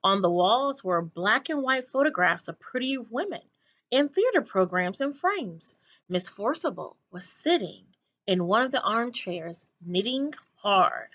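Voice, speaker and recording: A woman narrates a children's book as a teacher reading aloud. Her voice is fairly high and moves up and down with plenty of expression. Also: animated, a little slow, a bit bright.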